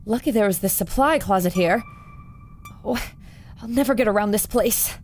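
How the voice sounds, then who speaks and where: A woman's voice: mid-range voice, normal speed, over music, close to an everyday voice. A woman playing a plucky adventurer reporter in an audio drama, confiding urgently to her listeners. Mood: furtive, excited. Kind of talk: audio drama acting, narrating into a recorder.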